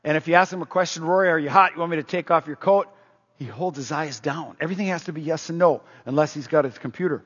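A man's voice: high voice, fairly bright tone, slightly rough. A middle-aged man preaching a sermon in a conversational way, often putting questions to the congregation. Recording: dull, reverberant hall sound.

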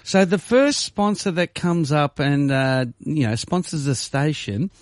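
A man in his forties with an Australian accent, chatting casually as a radio host, teasing and animated, with Italian words mixed in. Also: on the high side, measured pace, no echo, clean studio sound. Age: 40s.

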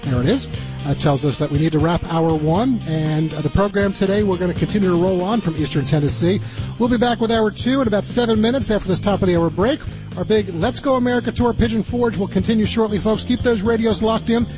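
A man's voice: high voice, quick pace, music in the background, poor audio. Upbeat male radio travel host. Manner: upbeat, promotional, many fillers. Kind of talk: radio interview and show wrap-up.

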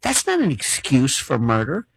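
A man's voice: mid-range voice, wildly swooping pitch, normal speed, gravelly, slightly rough, no room sound. A man hosting a call-in radio talk show, theatrical and wordy with mock-formal turns of phrase. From Florida.

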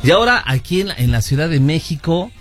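Mexican guy hosting radio, highish voice goes up and down, jokey, effusive. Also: sing-song, fast, fairly bright tone, soft background music. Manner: effusive, joking. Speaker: radio show host. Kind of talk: playful radio chat. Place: radio studio booth.

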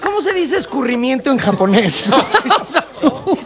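A man's voice: very high-pitched, very animated, a little slow. A man with a Mexican accent bantering casually and jokingly as a panelist on a sports show.

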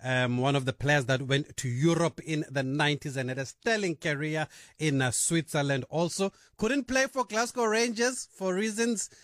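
A lively man hosts a radio sports show, his voice a little high. He keeps the talk moving and laughs easily. The sound is dry and close. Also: moderate pace, no room sound. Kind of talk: radio interview.

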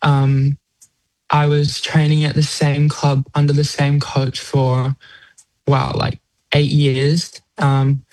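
A late-teen competitive swimmer from New Zealand with a New Zealand accent gives casual interview answers. The pitch stays fairly even and the sound is dry, with little room. The phrasing is thoughtful, dotted with "you know" and "like". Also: takes it slowly, dry recording, rough recording. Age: late teens, young adult.

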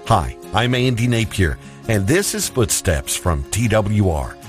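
A man's voice: on the low side, sing-song, unhurried, faint music behind. A man hosting a scripted radio feature, introducing the guest and reacting to him with enthusiasm. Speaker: radio program host.

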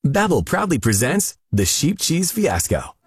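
A man's voice: on the high side, huge pitch swings, a little slow, breathy edge, soft background music. A man narrating a scripted radio commercial as its announcer.

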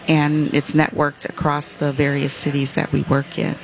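An interview guest from West Michigan who explains their organization's work at length in a measured way, with frequent "uh" fillers. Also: mid-range voice, monotone, creaky, a little hiss or hum, poor audio. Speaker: foundation representative, guest.

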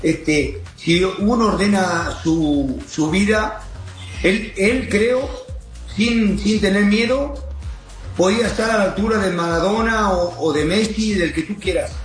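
High-voiced man, roomy sound. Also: a little slow, soft background music. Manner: rambling, emphatic explaining, checking the listener follows. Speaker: guest soccer coach. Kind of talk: casual interview talk.

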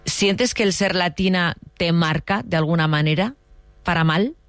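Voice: medium pitch, moderate pace, a bit bright, no echo. A radio talk show host interviewing guests with many questions. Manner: attentive, asks many questions.

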